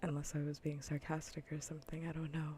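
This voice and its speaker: A woman streamer chatting softly in ASMR style close to the microphone, often in a breathy whisper, with a dry, unhurried, matter-of-fact way of talking. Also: sits low, monotone, a little hiss or hum. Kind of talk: soft-spoken ASMR gaming livestream chat.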